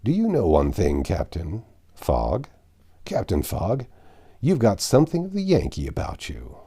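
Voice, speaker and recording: Storybook sea captain, performed by a man: American, brash and hearty, excitable bargainer, very animated delivery. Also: fairly low pitch, measured pace, breathy edge, exaggerated voice. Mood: gloating.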